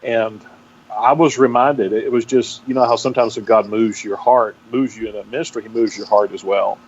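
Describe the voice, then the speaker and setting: Halting Texan man on dull audio. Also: on the low side, fairly even intonation, husky. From Texas, United States. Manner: halting, searching for words with repeated false starts. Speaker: interview guest, pastor and seminary teacher. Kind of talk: reflective interview talk. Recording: dull, band-limited audio with faint noise.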